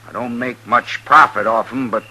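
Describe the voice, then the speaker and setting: An actor playing an easygoing drifter who trades horses, folksy and rustic, in a 1950s radio western. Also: male voice, mid-range voice, steady tone, normal speed, bright, forceful tone, breathy edge. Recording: noisy vintage 1950s radio recording.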